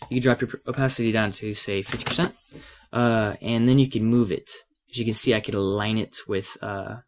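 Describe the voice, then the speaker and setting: Low, slightly raspy man teaching software casually. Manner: hesitant, thinking aloud while working. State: has a cold. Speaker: tutorial presenter. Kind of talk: casual unscripted software screencast lesson.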